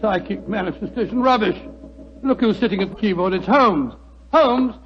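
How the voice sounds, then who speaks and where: A man playing a blustery, sceptical English gentleman doctor with a British accent in a 1940s radio drama, stammering and indignant. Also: sits high, moderate pace, lightly acted. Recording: hissy, muffled old radio recording.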